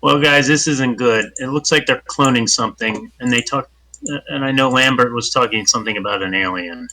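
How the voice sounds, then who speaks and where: A man's voice: medium pitch, fairly quick, bright, forceful tone, low-quality recording. A man playing a tabletop game with friends, asking the game master questions as he thinks aloud. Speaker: player. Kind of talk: casual tabletop game chat.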